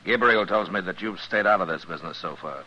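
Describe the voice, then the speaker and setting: An actor playing a stern, laconic frontier marshal in a 1950s radio western. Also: male voice, low voice, brisk, pushed and bright, husky, lo-fi sound, heavily acted. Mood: stern, commanding. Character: stern, authoritative frontier marshal, laconic lawman narrating his case. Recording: vintage radio recording, band-limited.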